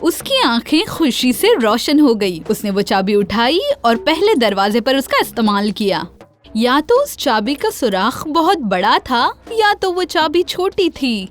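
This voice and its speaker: High, animated woman storyteller. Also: faint music behind. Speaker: storyteller, narrator. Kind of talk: scripted children's fairy tale narration.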